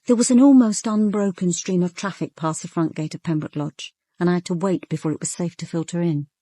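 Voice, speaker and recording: An actress playing a sharp-minded British police detective in a radio drama, with controlled, deliberate and pointed delivery. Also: female voice, on the low side, close to an everyday voice. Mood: musing. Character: sharp-minded, ambitious female police detective questioning suspects. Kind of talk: radio drama acting with first-person narration.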